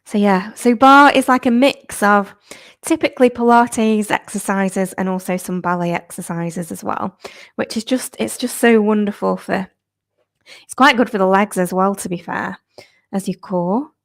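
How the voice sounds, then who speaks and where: A woman, a fitness instructor, chatting upbeat and slightly croaky on a live stream, laughing often. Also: mid-range voice, moderate pace, dry recording. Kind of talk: live-stream chat with viewers. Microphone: new clip-on microphone.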